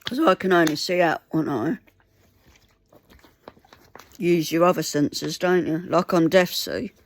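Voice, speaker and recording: A woman whose first language is Mandarin, talking earnestly to camera in a halting, pleading way. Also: lowish voice, steady tone, slight background noise. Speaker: vlogger. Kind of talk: casual talk to camera.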